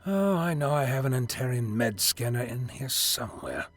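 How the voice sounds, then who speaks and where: A genial alien Doctor acted by a man in an audio drama. He chats and lectures whimsically in a lively, swooping voice, with music behind him. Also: medium pitch, normal speed. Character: genial eccentric alien Doctor, whimsical time-travelling scientist.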